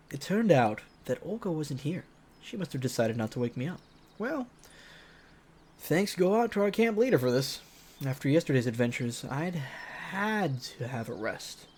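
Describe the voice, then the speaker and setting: A man's voice: a little high, big pitch swings, normal speed, a little mellow, husky. A man reading a visual novel aloud as a streamer, switching between dramatic narration and casual, joking commentary. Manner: playful, joking asides.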